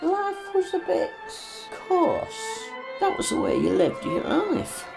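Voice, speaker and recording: A woman's voice: very high voice, wildly swooping pitch, creaky. A woman, a former mill worker reflectively recalling daily life. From West Yorkshire. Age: 80s. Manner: reflective, unhurried storytelling. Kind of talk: interview reminiscence. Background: violin music.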